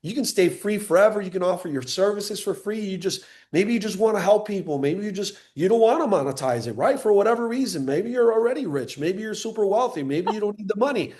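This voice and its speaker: A man's voice: high-pitched, expressive, fairly quick, hardly any pauses, darkish tone. A man born in Cuba and raised in Miami giving an enthusiastic sales presentation on a live call, teasing listeners by name.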